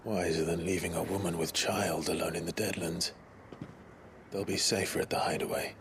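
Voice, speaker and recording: An actor playing a grim, resolute and protective warrior in a fantasy video game, speaking in solemn, measured phrases. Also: male voice, deep voice, fairly even intonation, noisy background. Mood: grim, brooding. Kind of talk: dramatic video game cutscene acting.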